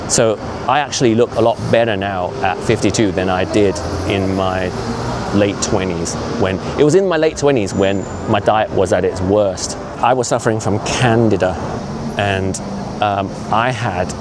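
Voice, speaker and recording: A man in his fifties speaks in a low voice, earnest and opinionated, with a chatty vlog manner. He is walking outdoors on a city street. Traffic and street noise come through clearly behind him. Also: normal speed. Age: 50s. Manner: opinionated, earnest, conversational with frequent asides. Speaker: vlogger. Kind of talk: casual walking vlog monologue. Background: traffic and urban street noise.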